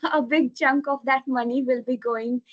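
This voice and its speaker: A woman giving an earnest impromptu speech over a video call, steady with some fillers. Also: high voice, measured pace, muffled recording.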